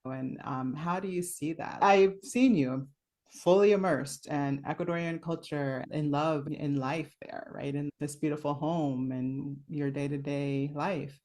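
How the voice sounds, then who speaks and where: A woman's voice: deep voice, fairly even intonation, unhurried, darkish tone. Effusive woman host, meandering and conversational. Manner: effusive, rambling, meandering phrasing. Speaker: summit host, interviewer. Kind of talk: casual conversational interview.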